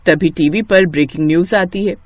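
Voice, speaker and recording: A woman's voice: fairly low pitch, brisk, darkish tone. A woman reading scripted narration for a comic moral tale, as a storyteller.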